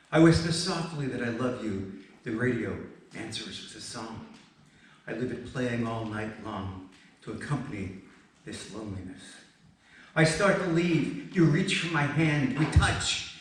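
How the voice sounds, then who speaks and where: A man reading poetry at a live event, measured, with dramatic pauses. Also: mid-range voice. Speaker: poet presenting work. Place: indoor event space, recorded from a distance. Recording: very echoey room, distant mic, faint noise.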